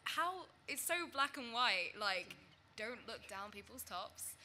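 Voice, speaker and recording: Emphatic bright-voiced woman. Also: on the high side, animated, slow, a little hiss or hum. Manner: indignant, emphatic. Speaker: panelist. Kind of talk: panel discussion.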